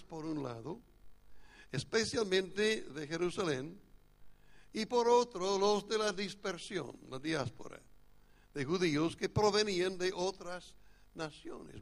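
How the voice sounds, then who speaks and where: Gravelly American-accented preacher. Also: male voice, sits high. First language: English. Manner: measured, explanatory teaching. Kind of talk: sermon at a church retreat. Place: church retreat.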